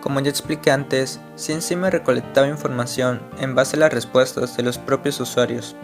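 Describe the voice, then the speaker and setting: A man narrating an internet mystery video in a scripted, explanatory way, asking the viewer rhetorical questions. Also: medium pitch. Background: faint guitar music.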